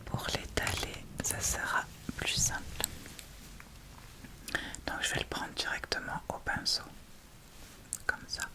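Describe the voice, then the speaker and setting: A woman giving an informal ASMR makeup tutorial, talking through each step in a hesitant, unhurried way. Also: poor audio.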